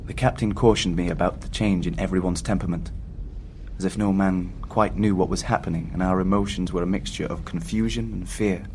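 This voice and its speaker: An actor playing a haunted seafarer recounting a doomed voyage in solemn, scripted first-person horror narration. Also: male voice, low-pitched, steady tone, fairly quick, darkish tone, slight background noise, lightly acted. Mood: sombre. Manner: solemn, literary storytelling.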